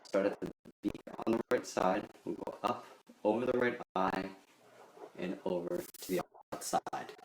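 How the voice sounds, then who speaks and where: Low-voiced man teaching in an echoey room. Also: measured pace. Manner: simple, methodical instructions. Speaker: drawing instructor. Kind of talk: step-by-step drawing tutorial. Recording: roomy echo with faint background noise.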